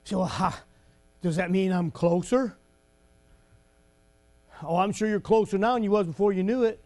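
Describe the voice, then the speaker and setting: High-voiced preacher, folksy and shouting. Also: male voice, fairly even intonation, normal speed. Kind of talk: sermon.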